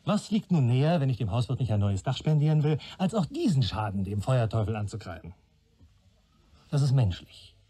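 An actor playing a keen young police trainee in a German radio play, arguing in a wry, sardonic way. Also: male voice, mid-range voice, big pitch swings, a little fast, dark tone, slightly rough. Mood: wry, reasoning. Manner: wry, argumentative.

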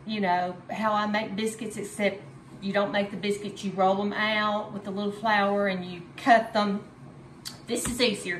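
Slow, chatty woman in an echoey kitchen. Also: medium pitch. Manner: chatty and homey, frequent fillers and laughs. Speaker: home cooking video host. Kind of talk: casual cooking demonstration. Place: home kitchen, indoors. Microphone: camera on counter at medium distance. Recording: echoey kitchen with faint ambient noise.

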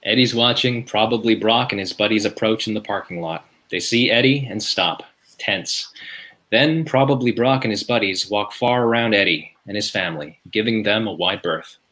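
A man's voice: on the low side, normal speed, fairly bright tone, low-quality recording. A man reading scripted stage directions as narrator at a table read.

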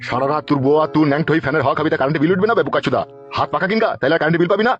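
Man as a rapid-fire grumpy cartoon landlord. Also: a little high, soft background music, natural voice. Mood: scolding. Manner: scolding, coarse. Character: grumpy, foul-mouthed older male landlord in a cartoon. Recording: dull, muffled lo-fi sound.

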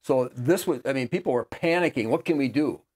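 Halting middle-aged American man hosting. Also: medium pitch, darkish tone, slightly rough. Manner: halting, searches for words. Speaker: host. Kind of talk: casual interview conversation. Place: small book-lined room indoors. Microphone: lapel mic.

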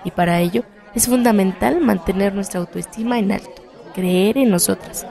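Radio soap mentor: determined Mexican activist, played by a woman, mid-pitched, dark mellow tone, firmly encouraging. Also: normal speed, creaky, some chatter behind, natural voice. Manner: firm, exhorting, colloquial. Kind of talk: radio soap opera acting.

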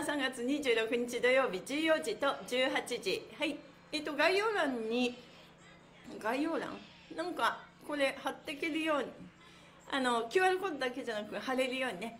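A woman with a notably high voice hosts an exercise livestream in a roomy, echoing space. She is cheerful and encouraging, talking in a chatty way as she guides each movement. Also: fairly bright tone, slight background noise. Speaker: stretch class instructor and host. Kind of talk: livestream exercise lesson, counting with chanted phrases. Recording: echoey room.

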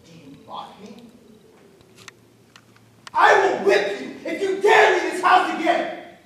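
A woman's voice: medium pitch, lively intonation, normal speed, some chatter behind, natural voice. A woman playing a stern, commanding farmer and husband, using old-fashioned speech. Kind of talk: stage play performance. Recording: echoey, far-off stage audio with background noise.

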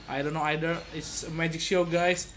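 A young adult man giving casual, playful gaming commentary to his viewers like an excitable showman. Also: a little high, a little fast, a little hiss or hum. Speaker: game streamer. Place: indoor gaming setup at home. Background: game music.

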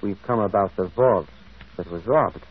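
Husky, musing old-radio priest detective. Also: male voice, lowish voice, normal speed. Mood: quietly insistent. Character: gentle, shrewd, pensive priest detective. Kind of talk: old radio drama acting. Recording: muffled, tinny vintage radio broadcast.

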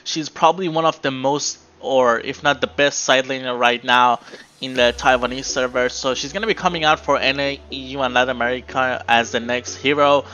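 A man's voice: medium pitch, fairly even intonation, fairly quick, pushed and bright. A young man hosting a gaming video, giving a casual, informal spoken introduction. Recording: dull, lo-fi microphone sound.

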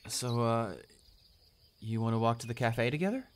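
Shy male classmate, acted by a man: kind, easily flustered, halting and apologetic, medium pitch, for romantic audio roleplay. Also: animated, slight background noise, natural voice. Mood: relieved. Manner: stammering, hesitant, apologetic.

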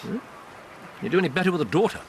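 Casting: coolly analytical English detective, very lively intonation, clipped radio-drama delivery, clear background noise. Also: male voice, high-pitched, fast talker. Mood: incisive, probing. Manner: incisive, clipped, musing. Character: brisk, shrewd, sceptical male detective, coolly analytical. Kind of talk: British radio drama acting.

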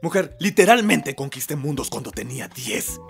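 Anime parody: proud, irritable alien prince, very gravelly, menacing, animated delivery, Latin American Spanish accent. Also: male voice, a little high, normal speed. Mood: irritated. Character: proud, short-tempered alien warrior prince and reluctant father. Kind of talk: anime parody fan dub acting. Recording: music under the voice.

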